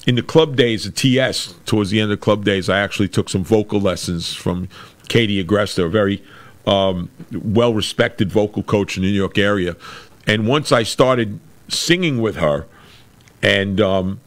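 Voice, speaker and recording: A middle-aged man with a very deep voice, chatting casually as a podcast host over a video call. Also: normal speed. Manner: chatty, with restarts and laughs. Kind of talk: casual interview chat. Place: room with a brick wall.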